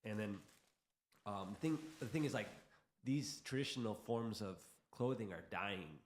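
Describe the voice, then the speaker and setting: A man's voice: on the low side, a little slow, a little hiss or hum. A man chatting casually on a podcast, rambling and opinionated, laughing at himself as he goes. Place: room in Taiwan. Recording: roomy echo.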